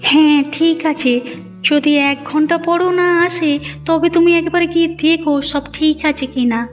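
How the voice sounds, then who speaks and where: A woman's voice: high-pitched, steady tone, normal speed, faint music behind, lightly acted. A woman playing an anxious, fretful young village wife in a fairy-tale cartoon. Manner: fretful, questioning, melodramatic.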